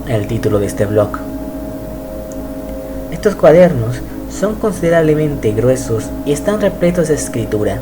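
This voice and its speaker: A man's voice: medium pitch, low-quality recording. Man narrating over music. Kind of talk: scripted horror narration.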